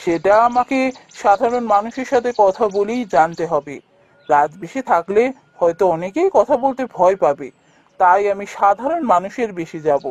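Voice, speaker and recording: A man's voice: high voice, lively intonation, normal speed, dull audio. A man playing an earnest, polite young prince in a cartoon fairy tale. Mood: respectful, resolute. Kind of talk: animated fairy tale voice acting.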